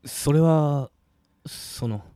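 A man's voice: on the high side, drawn-out words, mellow, no echo, studio quality. Man playing a mild, caring young friend. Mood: remorseful. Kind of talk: Japanese audio drama acting.

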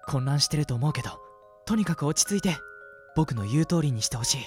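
Over soft music comes a man acting as a gentle ghost boy of sixteen in a radio drama. He is earnest and careful, with a voice that moves widely in pitch as he reassures his listener. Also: expressive, quick pace, lightly acted. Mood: urgent, reassuring.

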